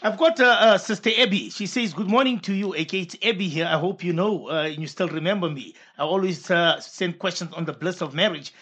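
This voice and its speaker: A man's voice: high voice, brisk, hardly any pauses, sharp tone, breathy edge. A man hosting a radio show, reading out listener messages and questions with brief affirming hums.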